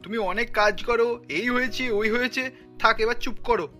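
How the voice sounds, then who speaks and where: A woman's voice: on the high side, normal speed, fairly bright tone, soft background music, close to an everyday voice. A woman voicing an irritable, dismissive office-going husband in a cartoon, curt and scolding. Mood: irritated. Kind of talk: cartoon family drama voice acting.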